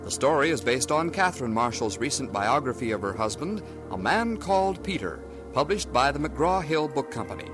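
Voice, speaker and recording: A man announcing a radio program in a measured, formal way. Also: mid-range voice, sing-song. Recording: old radio broadcast with organ. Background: organ music.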